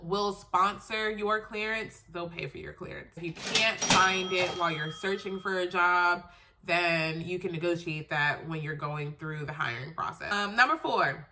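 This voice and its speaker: A woman's voice: lowish voice, noisy background. A congested woman with a stuffy, nasal voice from a cold, giving casual career tips in a chatty, emphatic way. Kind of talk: casual how-to advice video.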